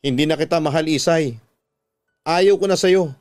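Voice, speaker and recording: Unfaithful husband for radio drama, acted by a man: cold, blunt, blaming, lightly high voice over music. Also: normal speed, no echo, lightly acted. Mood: cold, rejecting. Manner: blunt, rejecting. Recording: music bed under the voice.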